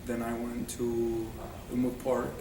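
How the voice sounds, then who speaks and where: A man's voice: mid-range voice, monotone, slow. A young man in his twenties from Syria, a student guest, answering plainly with fillers. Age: 20s. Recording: echoey room, far from mic, noise.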